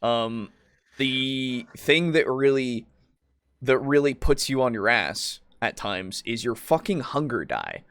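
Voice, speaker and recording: Halting, sweary man in casual discussion. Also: medium pitch, lively intonation, a bit bright, no echo, clean studio sound. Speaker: podcast co-host. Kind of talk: casual discussion of tabletop roleplaying.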